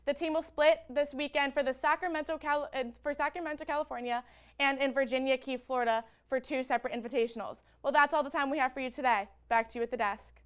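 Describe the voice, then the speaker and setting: A woman's voice: high-pitched, steady tone, fast talker. A young woman co-anchoring a student sports roundup, eager and reading from a script. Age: young adult.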